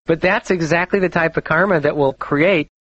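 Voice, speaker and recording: A man's voice: on the high side, moderate pace, a bit bright, no room sound, lo-fi sound. A man hosting a call-in astrology radio show, talking confidently and chattily and laughing often.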